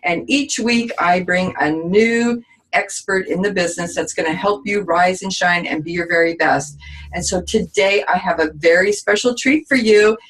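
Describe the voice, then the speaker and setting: A woman hosts an online talk show with an upbeat, enthusiastic manner. Her voice sits at a middle pitch. She introduces her guest and asks her questions in a friendly way. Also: rough recording. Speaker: host.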